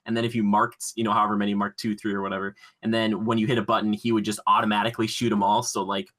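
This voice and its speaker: A man co-hosting a podcast in casual chat, pitching his ideas in an excitable, slangy, rambling way. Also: very fast. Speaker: podcast co-host.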